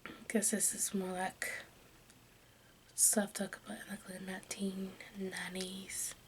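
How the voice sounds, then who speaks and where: A woman reading aloud in a breathy whisper for ASMR, haltingly and with small stumbles over the words. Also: mid-range voice. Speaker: host of an ASMR channel. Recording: steady background noise, room ambience.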